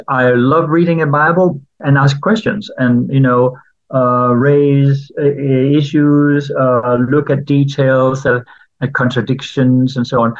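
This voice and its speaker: Danish man speaking thoughtfully, slightly muffled. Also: medium pitch. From Denmark. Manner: thoughtful, questioning, with many fillers. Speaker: guest, Bible translator. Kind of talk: interview answers.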